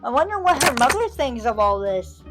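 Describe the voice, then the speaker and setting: A woman's voice: high-pitched, very animated, normal speed, music in the background. A woman commenting on online drama, hesitant and musing, often trailing off. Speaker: commentary youtuber. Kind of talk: slow reflective commentary.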